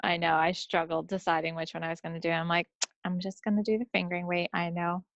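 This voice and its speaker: The low, gravelly woman you would hear co-hosting a laid-back hobby podcast from home, slightly muffled. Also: little pitch movement, fast talker, no echo. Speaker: podcast co-host. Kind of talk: casual chat.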